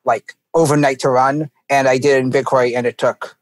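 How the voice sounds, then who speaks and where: A man's voice: mid-range voice, little pitch movement, a little fast, no echo. A man chatting casually about technical research work, informal and often saying "like". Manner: informal, peppers talk with "like". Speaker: researcher and programmer on a podcast.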